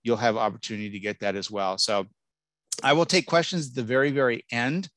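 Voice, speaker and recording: A man's voice: medium pitch, fairly even intonation, a little fast, fairly bright tone, no echo. A middle-aged man from Southern California giving a chatty, reassuring admissions talk to parents over a video call, with jokes and acted-out quotes. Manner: chatty, reassuring, jokey, acts out quoted voices. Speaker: college admissions speaker. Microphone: computer mic on a video call.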